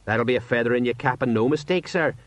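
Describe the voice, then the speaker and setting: A man's voice: mid-range voice, fast, dry recording, lightly acted. A man voicing an eager, deferential male sergeant in a storybook, chatty and colloquial, easily puffed with pride. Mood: helpful. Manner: colloquial, helpful, fawning. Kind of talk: audiobook character voice.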